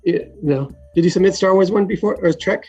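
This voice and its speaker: A male host directs guests briskly over a video call. His husky voice comes through a muffled, lo-fi line with faint music behind it. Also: sits high, a little mellow. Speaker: podcast host. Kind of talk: hosting a casual video call show.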